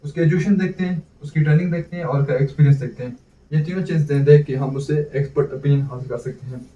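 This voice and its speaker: Male teacher, Pakistani accent, slightly high mellow voice, explaining step by step through self-posed questions. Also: fast talker. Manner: asks himself questions and answers them. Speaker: law teacher. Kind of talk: explanatory exam-prep lecture in Urdu mixed with English terms. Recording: dull, echoey room, distant mic.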